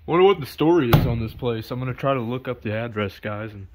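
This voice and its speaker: A man's voice: mid-range voice, animated, fast, heavy background noise. A man in his twenties walks through an empty abandoned house on a first-person camera. He chats casually to his viewers and points out what he finds. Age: 20s. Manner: talks to viewers while exploring, points out objects in plain remarks. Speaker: urban explorer vlogger. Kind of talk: casual walkthrough narration. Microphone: first-person body or head camera.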